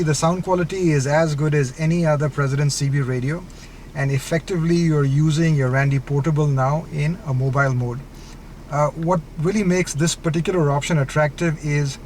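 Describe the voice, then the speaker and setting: A man's voice: fairly high pitch, darkish tone. A man giving a casual product demonstration inside a car, explaining things step by step. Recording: clear car-interior background noise. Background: vehicle ambience.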